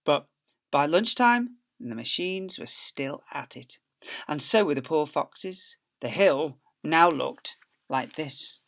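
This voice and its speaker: A man's voice: a little high, animated, slow, gravelly, fairly bright tone. A man, an infant school teacher, reading a story expressively to young children.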